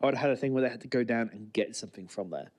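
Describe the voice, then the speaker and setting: A man's voice: medium pitch, very fast, a little mellow. A man co-hosting a podcast, bantering casually and off the cuff about a TV episode. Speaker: podcast co-host.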